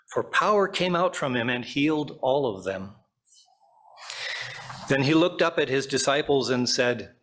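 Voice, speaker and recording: A man reads scripture aloud during a church service. His delivery is formal and measured, each phrase set out on its own. His voice sits at a medium pitch for a man. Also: a bit bright. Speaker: scripture reader.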